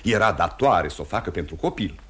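An actor playing a calm, kindly older gentleman in a theatrical radio drama, speaking in a measured, courteous and gently admonishing way. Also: low voice, slightly rough, heavily acted. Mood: reproachful, persuasive.

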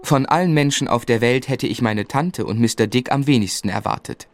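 Man acting an earnest young gentleman, faint music. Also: mid-range voice, brisk, natural voice. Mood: reflective narration. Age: young adult. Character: earnest, lovestruck young man narrating his life. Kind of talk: narration and dialogue in radio play.

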